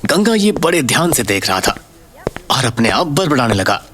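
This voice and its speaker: A man's voice: medium pitch, big pitch swings, moderate pace, a bit bright, slight background noise. A man narrating a scripted Hindi audio story in a dramatic style.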